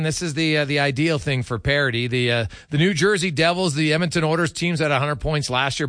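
Bright-voiced male sports radio host. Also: on the high side, no echo, studio quality. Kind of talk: casual sports interview talk.

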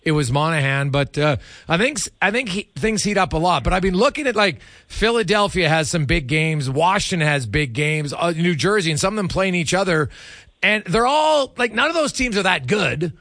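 A man's voice: high-pitched, expressive, normal speed, a bit bright, no echo, clean studio sound. A man hosting a radio sports show, chatting casually and thinking aloud as he goes.

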